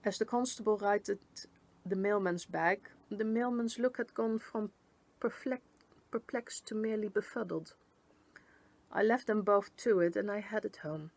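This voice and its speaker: A woman narrating a first-person storybook tale in an expressive read-aloud manner. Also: mid-range voice, a little slow, low-quality recording. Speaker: story reader.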